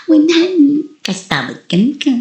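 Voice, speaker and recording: Secret sweetheart, Ilocano Filipino comedy, female performer: flirtatious, unhurried, very sing-song pitch. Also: sing-song, exaggerated voice. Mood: sweet, flirty. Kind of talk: Ilocano comedy drama acting. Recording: roomy echo.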